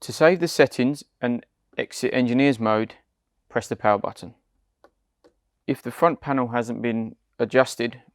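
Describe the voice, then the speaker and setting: A British man, around his forties, giving scripted step-by-step product instructions in a measured, deliberate way. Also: medium pitch, no room sound, studio quality. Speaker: product demonstrator.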